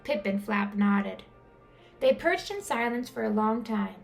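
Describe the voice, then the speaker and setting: High-voiced, animated woman reading a storybook. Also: unhurried, gravelly, soft background music. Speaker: storyteller, teacher. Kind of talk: expressive children's picture-book reading.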